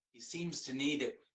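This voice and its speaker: A man voicing a kind, poor young man, speaking softly and hesitantly. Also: mid-range voice, far from the mic, pitched below the performer's own voice. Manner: soft, hesitant, sighing.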